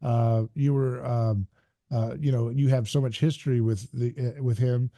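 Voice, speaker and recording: Male podcast host, mellow warm tone, dry close mic, halting questions. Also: mid-range voice, steady tone, no echo. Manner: frequent "yeah" backchannels, halting questions with restarts. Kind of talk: interview, casual chat.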